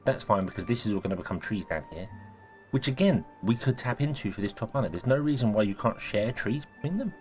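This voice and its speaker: A man, casually thinking aloud as he plays a building game, his voice a little croaky from a cold. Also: fairly low pitch, lively intonation, quick pace, darkish tone, soft background music. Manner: thinking aloud, rambling, self-correcting. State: croaky, sneezy voice. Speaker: gaming video host. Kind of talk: casual let's-play commentary.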